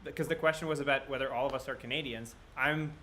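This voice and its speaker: Young male host, bright tense tone, reads fan questions, laughs a lot. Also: mid-range voice, steady tone, fast talker, a little hiss or hum. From Russia and Israel. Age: 20s. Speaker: band member moderating a live Q&A. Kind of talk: casual livestream chat.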